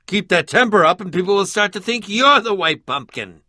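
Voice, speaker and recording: An actor voicing an eccentric, prickly and curious middle-aged adventurer in a video game, with a pompous, theatrical manner and formal wording. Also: male voice, high voice, animated, normal speed, pushed and bright, no room sound, studio quality, heavily acted. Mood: pompous, musing.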